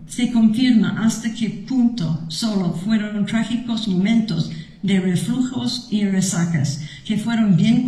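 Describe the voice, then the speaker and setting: A woman at a conference slowly reading a prepared tribute aloud, with a Mexican Spanish accent and the odd slip. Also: medium pitch, dark tone. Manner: slow, measured reading with some slips. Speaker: conference speaker. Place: lecture hall. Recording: echoey hall.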